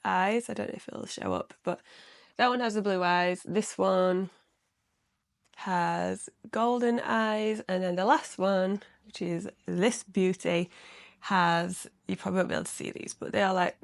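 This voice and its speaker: A young woman talks through her handmade work in a loose, rambling home vlog, her voice a little croaky and gravelly with fry. Her mid-range voice sits close to the microphone in a dry, deadened space with no room echo. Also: medium pitch, a little slow. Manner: chatty and rambling, lots of "like". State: slightly croaky from recent illness. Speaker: crochet vlogger. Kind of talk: casual chatty vlog.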